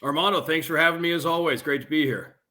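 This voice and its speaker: A man's voice: on the high side, quick pace, pushed and bright. A man who works as a radio play-by-play announcer, giving conversational sports analysis as a podcast guest.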